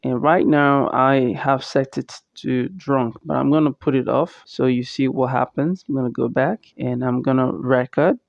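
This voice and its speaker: A man's voice: medium pitch, steady tone, normal speed, creaky, hardly any pauses, dry recording, dull audio. A man narrating a casual step-by-step phone tutorial as its host.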